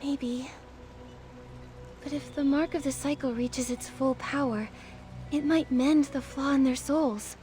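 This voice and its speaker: A woman playing a gentle, earnest young game heroine, speaking softly and thoughtfully. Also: sits high, steady tone, measured pace, long pauses, soft background music. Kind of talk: game cutscene acting.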